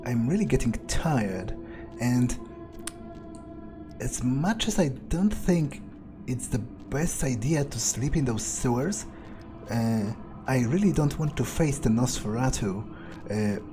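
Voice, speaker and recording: Casting: a man as weary, sardonic male vampire in a video game, mid-pitched, unhurried, halting, swearing self-talk. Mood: tired, wary. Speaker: player roleplaying a video game character. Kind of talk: in-character improvised gameplay monologue.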